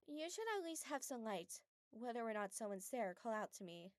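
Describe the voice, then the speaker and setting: A woman playing a gentle, kindly young woman in an anime-style audio drama dub, soft-spoken and caring with a light teasing streak. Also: a little high, lively intonation, moderate pace, no echo, studio quality, close to an everyday voice. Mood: concerned.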